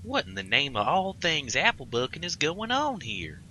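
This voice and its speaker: A man acts a young country stallion pony in a comic story reading. The voice is lifted in pitch and bright. He is cheeky, folksy and fond of teasing. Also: huge pitch swings, moderate pace, a little hiss or hum, heavily acted. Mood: shocked. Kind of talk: comic fan fiction character reading.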